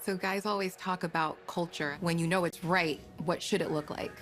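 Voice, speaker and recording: A woman's voice: lowish voice, steady tone, moderate pace, a bit bright, soft background music. A woman reporter interviewing a head coach with probing questions. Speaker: sideline reporter. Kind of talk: sit-down interview.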